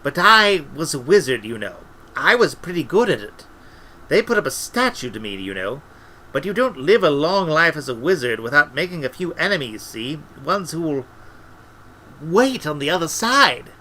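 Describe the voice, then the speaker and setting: A man voicing a defensive, ancient wizard servant in an audiobook, gruff and folksy, given to whining and ungrammatical asides. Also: on the high side, sing-song, normal speed, sharp tone, voice pushed up, heavily acted, low-quality recording. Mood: grumbling, confiding. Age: older. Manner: colloquial, ungrammatical, tacks on "see?" and "you know".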